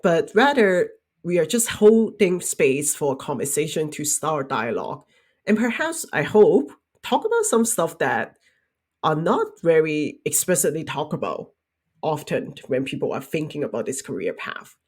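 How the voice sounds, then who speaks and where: A young adult woman hosting a career podcast, speaking in an earnest, measured and reflective way. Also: sits low, very animated, a little mellow, breathy edge. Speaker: podcast host. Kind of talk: podcast intro and interview.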